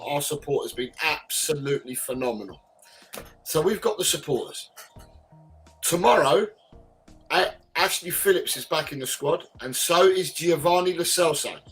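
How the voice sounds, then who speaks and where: A man's voice: fairly high pitch, fairly bright tone, rough recording. A man hosting a casual podcast, emphatic and repeating his points as he quizzes his guests over a video call. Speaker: podcast host.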